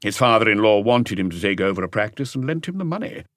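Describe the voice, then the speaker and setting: A man's voice: fairly low pitch, sing-song, quick pace, a bit bright, dry recording, studio quality. A man voicing an earnest, talkative doctor who explains things with assurance in an audiobook mystery. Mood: frank, explanatory. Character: earnest male doctor, talkative and conscientious family friend.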